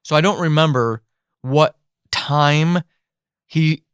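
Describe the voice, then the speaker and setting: A man with an American accent hosting a solo podcast, talking conversationally in an emphatic, explanatory way with deliberate drawn-out stresses. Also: a little high, clear, resonant tone, no echo, studio quality. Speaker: podcast host; writer and leadership teacher.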